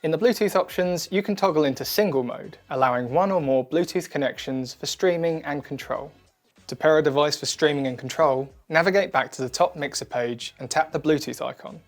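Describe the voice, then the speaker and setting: A man presenting a scripted product demo in a clear, methodical, instructional manner. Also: fairly high pitch, fairly quick. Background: background music.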